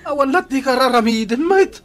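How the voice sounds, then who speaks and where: An actress playing a conniving scam accomplice in Ilocano radio comedy drama, with an Ilocano accent. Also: female voice, high-pitched, a bit bright, heavily acted. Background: music.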